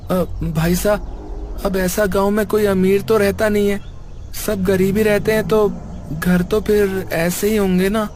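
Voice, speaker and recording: A man's voice: sits high, little pitch movement, measured pace, a little mellow, a little hiss or hum. A man playing an eager, respectful teenage boy from a village tea stall. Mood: puzzled.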